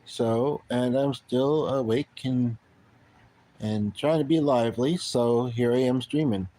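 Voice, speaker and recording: A middle-aged man from Canada talks to his livestream viewers in a loose, wandering way. He trails off, starts sentences over and leans on plenty of ums. His voice sits at an ordinary middle pitch for a man. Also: mellow, low-quality recording. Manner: rambling, halting, many ums and restarts. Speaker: livestream host. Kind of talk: casual livestream chat.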